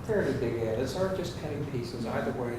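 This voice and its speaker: A man's voice: expressive, a little fast, darkish tone. Man asking questions in a very echoey room. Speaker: questioner at a panel Q&A. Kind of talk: casual spoken questions. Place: live film festival event room. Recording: very echoey room, noise and rumble.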